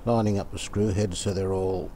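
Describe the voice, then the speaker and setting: A man from Australia narrating hands-on repair work casually, unhurried and halting as he thinks aloud. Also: low voice, fairly even intonation, dark tone, a little hiss or hum. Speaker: radio restorer presenting his own repair videos.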